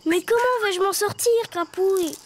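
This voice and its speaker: Extremely high, plucky little boy hero. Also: moderate pace. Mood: hurried. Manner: eager, childlike. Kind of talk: French cartoon acting. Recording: loud music behind the voice.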